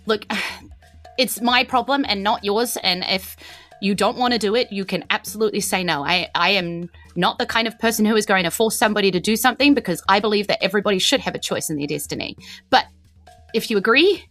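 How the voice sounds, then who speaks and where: A New Zealand woman playing an earnest, nervous but determined teenage empress in a tabletop game, speaking haltingly. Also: mid-range voice, fairly bright tone, soft background music, lightly acted. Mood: hesitant, urgent.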